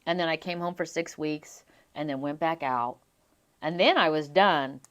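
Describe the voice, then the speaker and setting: A young adult woman from Las Vegas, a singer being interviewed, telling her stories in an animated way and laughing often. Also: sits low, moderate pace. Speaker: guest singer. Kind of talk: casual interview chat.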